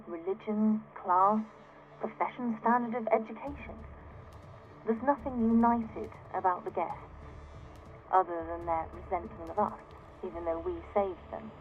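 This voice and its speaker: An actress playing an indignant, self-righteous founder of a floating city in a video game audio log, exasperated, defensive and emphatic, through a radio-like filter. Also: female voice, medium pitch, narrow, tinny audio. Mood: resentful.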